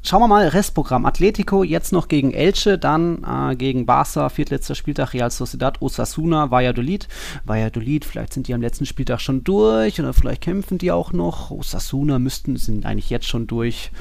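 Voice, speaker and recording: Guy chatting on a football podcast, kinda gravelly, mid pitch, dry close sound, casual and exclamatory. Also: animated, fairly quick, a little mellow, dry recording.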